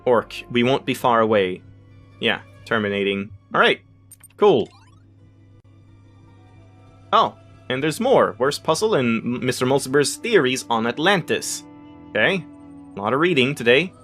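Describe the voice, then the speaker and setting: A man's voice: mid-range voice, big pitch swings, unhurried, long pauses, a bit bright. Man reading game text over music. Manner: stumbles over unfamiliar words, self-correcting. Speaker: let's play host. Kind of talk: reading game text aloud with casual asides. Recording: music behind the voice.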